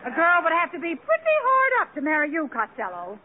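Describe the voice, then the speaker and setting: A woman's voice: very high-pitched, wildly swooping pitch, a little fast, phone-quality sound, noisy background. A woman trading mock-indignant insults in 1940s radio comedy, heard on an old broadcast. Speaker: comedy guest.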